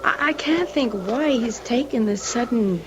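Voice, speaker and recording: A woman playing a naive, tender young sweetheart in an old-time radio drama, breathy and effusive. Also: fairly high pitch, expressive, measured pace. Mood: tender, adoring. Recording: dull, noisy vintage radio recording.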